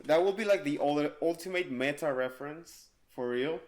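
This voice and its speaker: High-voiced, excitable man podcasting. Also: moderate pace. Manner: excitable, exclamatory. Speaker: podcast host. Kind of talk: casual podcast chat.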